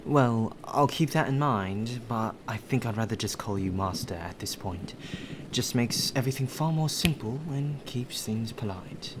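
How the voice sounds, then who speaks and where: A man acting as a male butler, unhurried, formal and flowery, deferential, ASMR roleplay, rainy ambience with clear background noise. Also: mid-range voice, expressive, natural voice. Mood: courteous. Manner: formal, flowery, softly polite phrasing. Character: courteous, well-spoken male butler, deferential and appreciative.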